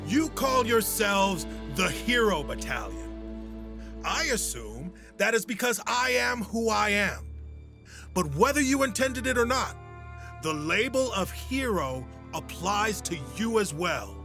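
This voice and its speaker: An actor playing a legendary warrior hero and commander in an audio drama, weary and formal in a measured delivery. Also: male voice, high-pitched, big pitch swings, music in the background, exaggerated voice. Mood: rousing.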